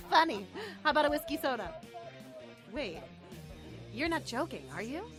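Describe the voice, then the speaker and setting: An actress playing a brash, sociable young student who waits tables, in a casual, pushy, mocking way for a radio drama. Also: female voice, sits high, sing-song, normal speed. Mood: teasing, incredulous. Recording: music behind the voice.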